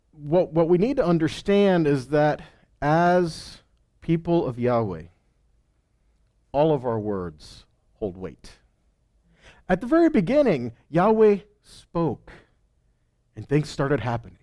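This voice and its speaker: A man's voice: fairly high pitch, a little mellow. A man preaching an informal Bible teaching in an emphatic, conversational way, often tossing out rhetorical questions.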